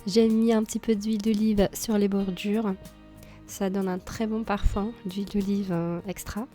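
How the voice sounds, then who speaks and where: A woman with a slightly hoarse voice from a cold talks through a recipe in a calm, mellow tone. She explains each step plainly, with the odd small filler. Soft music plays behind her. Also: medium pitch, normal speed. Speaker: cooking channel host. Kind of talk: cooking tutorial voice-over.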